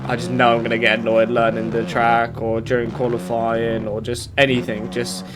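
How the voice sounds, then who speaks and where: A British man talks casually over his own gameplay, his voice stuffy and blocked from hay fever. He rambles along with frequent ums and corrections, at an ordinary male pitch. Loud motorbike engine noise from the game runs underneath. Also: completely flat delivery, moderate pace. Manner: rambling, many fillers and self-corrections. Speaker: gaming YouTuber. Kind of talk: casual commentary while playing. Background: motorbike game engine sounds.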